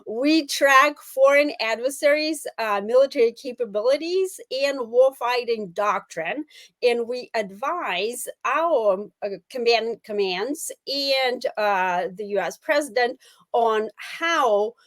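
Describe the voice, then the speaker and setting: A middle-aged woman with a Russian accent, explaining as an expert interview guest in a deliberate, emphatic way with pauses mid-phrase. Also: fairly high pitch, animated, a bit bright. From Soviet Union. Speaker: guest analyst, former intelligence officer.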